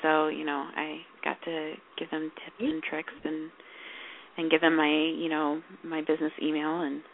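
A woman co-host talks in a loose, rambling way, full of ums and restarts. Her voice is gravelly and a bit hoarse, as if she is losing it. The sound is thin and phone-like. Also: deep voice, completely flat delivery, normal speed, slight background noise. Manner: rambling, lots of fillers and restarts. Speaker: podcast co-host. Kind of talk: casual chat. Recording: tinny phone-like call audio.

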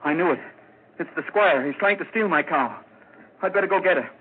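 An actor playing a poor, suspicious, excitable farmer in an agitated, exclamatory 1940s radio drama style. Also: male voice, on the high side, a little fast, husky. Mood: excited, indignant. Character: poor, excitable, suspicious farmer hunting his lost cow. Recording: scratchy, tinny 1940s radio recording.

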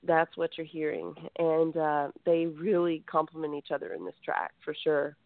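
A woman's voice: deep voice, little pitch movement, moderate pace, no room sound. A woman born in Greece, a musician guest in a radio interview, talking reflectively with many fillers over a phone line.

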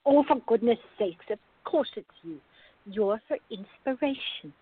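A woman's voice: on the high side, animated, unhurried, a little hiss or hum. A woman playing a firm, sensible peacemaking partner in a radio drama, with a chiding but fond manner. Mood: scolding. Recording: thin, phone-like band-limited audio.

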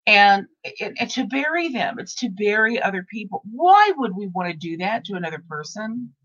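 Woman, 60s, talk host; rambly, repetitive, mid-pitched, dull lo-fi recording. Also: very animated, moderate pace, fairly bright tone. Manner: rambling, repeats herself, restarts often. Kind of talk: casual podcast chat.

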